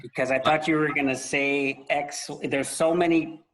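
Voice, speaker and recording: A man hosting a conversational interview, speaking emphatically with deliberate pauses for effect. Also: fairly high pitch, fairly even intonation, a bit bright. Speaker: interview host.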